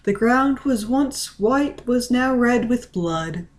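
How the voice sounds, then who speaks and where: A woman narrates a story aloud with a fairly high voice. She takes her time with each phrase, reading deliberately and dramatically. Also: animated. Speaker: reader of a fan-fiction story.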